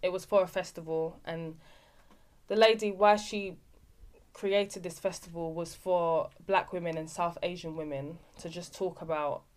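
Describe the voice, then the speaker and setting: A young woman chats as a podcast guest about her acting projects. Her voice sits a little low for a woman. She talks freely and often stops and restarts a sentence as she explains. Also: steady tone, measured pace. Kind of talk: casual chat.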